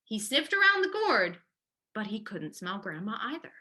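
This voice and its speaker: A woman's voice: mid-range voice, big pitch swings, normal speed, pushed and bright. A woman reading a children's story aloud expressively, as a librarian does at storytime.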